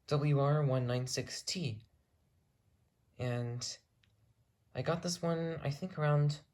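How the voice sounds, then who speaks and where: Mellow, rambling hobbyist narrator. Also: mid-range voice. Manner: rambling, self-correcting. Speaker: hobbyist video creator. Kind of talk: casual unscripted show-and-tell narration. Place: indoors in a room at home. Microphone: handheld camera, speaker behind it.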